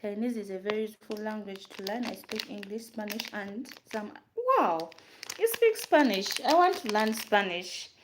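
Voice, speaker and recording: A young woman with a Ugandan accent talks casually to her viewers, answering questions as she prepares food. Her voice sits at a middle pitch. The home recording carries clear background noise, with crinkling and clicks around her. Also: animated, normal speed. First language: Luganda. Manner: chatty, answers viewer questions while cooking. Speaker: vlogger. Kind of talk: casual chat while cooking.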